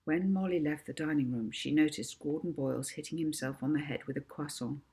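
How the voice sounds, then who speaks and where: A woman's voice: sits low, brisk, warm tone. A woman author narrating her own children's book, with casual asides to the listener.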